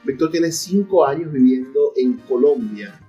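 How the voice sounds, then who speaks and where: Entrevistador venezolano, grabación apagada y distante. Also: male voice, medium pitch, lively intonation, measured pace, warm tone. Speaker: radio interviewer and host. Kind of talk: conversational literary interview. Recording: distant, muffled mic with music.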